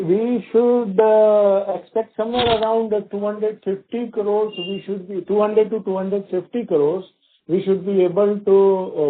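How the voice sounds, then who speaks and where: A male company executive, answering analysts' questions on an earnings call, hesitant with many fillers and restarts. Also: high voice. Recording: tinny phone line.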